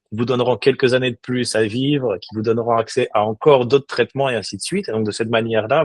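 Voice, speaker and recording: Un homme d'une quarantaine d'années, invité d'un podcast, qui parle sérieusement avec beaucoup d'hésitations et de reprises. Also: male voice, mid-range voice, darkish tone, no room sound. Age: 40s. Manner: earnest, many restarts and hesitations. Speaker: guest. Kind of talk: casual interview talk.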